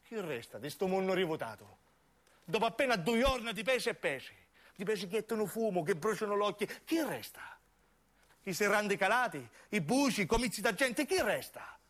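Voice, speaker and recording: A man's voice: sits high, big pitch swings, normal speed, fairly bright tone. A man with a southern Italian accent performing a dramatic, impassioned theatrical monologue for radio, emphatic and repetitive. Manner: impassioned, emphatic, repeating phrases. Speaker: narrating actor.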